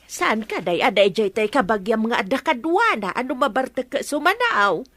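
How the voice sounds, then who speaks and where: High-voiced, nagging Ilocano wife. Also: female voice, sing-song, normal speed, fairly bright tone, no echo. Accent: Filipino, Ilocano. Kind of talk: comedic radio drama acting.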